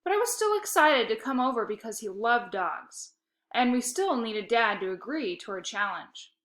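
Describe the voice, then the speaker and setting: A woman reading a children's story aloud in an expressive, emphatic narrating style. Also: on the high side, normal speed. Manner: animated, emphatic dialogue.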